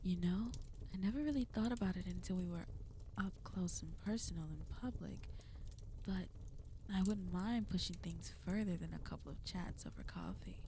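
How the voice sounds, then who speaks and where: A woman's voice: on the low side, moderate pace, dark tone, a little hiss or hum, close to an everyday voice. A woman playing a flirty, possessive villain in an ASMR roleplay, speaking intimately to the listener in a teasing, commanding way. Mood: flirty, coaxing.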